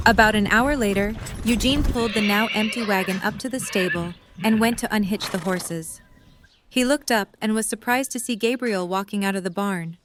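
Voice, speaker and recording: A woman's voice: mid-range voice, normal speed, noisy background. A woman reading scripted story narration for an audio drama.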